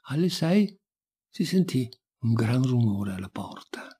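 Husky, expressive male storyteller. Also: medium pitch, unhurried. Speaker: reader of a folk tale.

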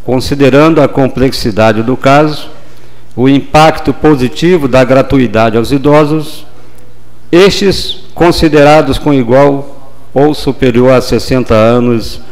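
A man in his sixties, a city councilman, giving a measured, formal speech at a desk microphone in a council chamber. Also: mid-range voice, a little mellow, rough recording. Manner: measured, formal, deliberate pauses. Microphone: desk gooseneck microphone.

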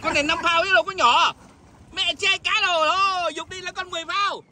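A man fishing on a boat outdoors, chatting excitedly with loud exclamations and blunt swearing. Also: extremely high pitch, fairly quick, crowd noise. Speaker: fishing vlogger.

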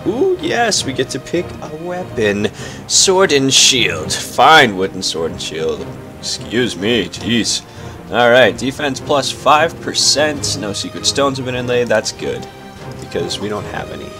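A young man hosting a casual let's play, chatty and playful, a little stuffed up. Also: medium pitch. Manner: chatty, playful, easily excited. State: slightly stuffed up. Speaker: gaming channel host. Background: game music.

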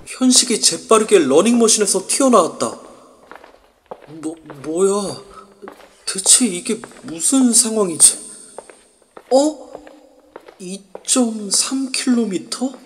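A man's voice: sits high, lively intonation, slow pace, pauses often, slight background noise. A man narrating an amateur audio drama in an irritated inner monologue. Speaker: narrator and lead.

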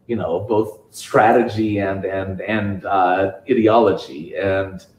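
A man giving a public talk at a bookstore event, speaking haltingly with frequent restarts and "you know"s. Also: low-pitched, fairly even intonation, a little mellow. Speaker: guest speaker. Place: bookstore event space with audience. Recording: echoey room.

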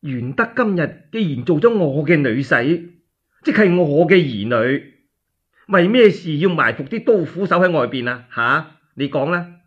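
Give the part of an elderly Cantonese dowager in a radio tale, acted out by a man. The voice is husky and swings widely in pitch, doting one moment and imperious the next. The recording is dull and lo-fi. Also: expressive, fairly bright tone, full character voice. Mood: angry. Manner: exclaiming, drawn-out, imperious. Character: doting elderly noble dowager, quick to flare up.

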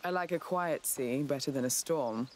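An actress playing a worldly, caring woman sea trader in a video game drama, with a dry, relaxed and teasing delivery. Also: rumbling low, moderate pace, slight background noise. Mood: wry, caring.

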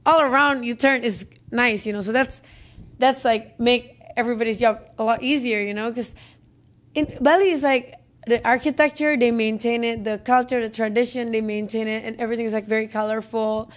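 Young Indonesian-accented woman director, slightly high voice, loose and chatty interview delivery. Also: fairly even intonation, brisk. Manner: chatty, many fillers and restarts. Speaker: film director. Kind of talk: interview answers. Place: office conference room, indoors. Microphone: handheld mic.

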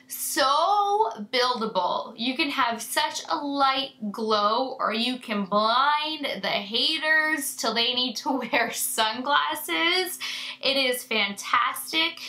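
A woman in her thirties talks straight to camera from a room lined with makeup shelves. She sounds stuffed-up and nasal from a sinus infection, chatty and effusive, with a high, bright voice. The room adds a noticeable echo. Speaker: beauty vlogger. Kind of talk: casual to-camera review.

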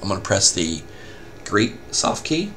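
A man giving a casual, step-by-step software tutorial as a help desk instructor. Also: deep voice, sing-song, measured pace, a little hiss or hum. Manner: informal step-by-step explaining.

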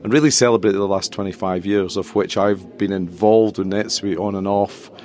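Deep-voiced middle-aged Scottish man. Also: fairly even intonation, moderate pace, darkish tone, a little hiss or hum. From Scotland. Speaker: interview guest, tech company chief executive. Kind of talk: casual podcast interview.

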